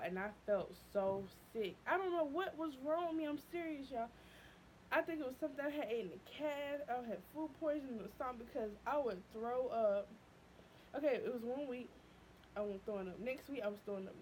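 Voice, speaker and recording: Young woman vlogger, candid storytelling, highish voice, faint hum behind. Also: a little fast, rough recording. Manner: animated, voice leaping high when quoting her past self. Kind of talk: casual storytelling.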